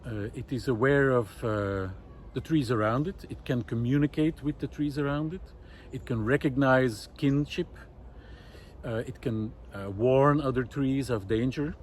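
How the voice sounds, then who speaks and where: A man talking unscripted and reflectively to camera outdoors in a wooded park, pausing often mid-sentence between short phrases. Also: medium pitch. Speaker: vlogger. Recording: clear outdoor background noise.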